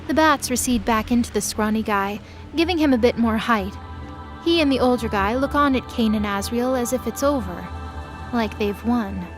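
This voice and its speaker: A woman's voice: on the high side, moderate pace, music in the background. A woman delivering dramatic scripted narration as the narrator of an audio drama.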